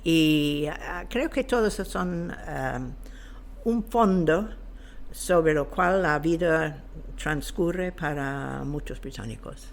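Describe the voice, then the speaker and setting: Older woman, slow and halting. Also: deep voice, slight background noise. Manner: halting, searching for words. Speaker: podcast guest. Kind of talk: interview answers, reflective.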